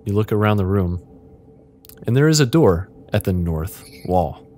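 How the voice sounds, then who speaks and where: A man's voice: fairly low pitch, expressive, measured pace, dark tone. A man hosting as game master, narrating an improvised interactive fiction game and often chuckling as he goes. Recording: clearly noisy background.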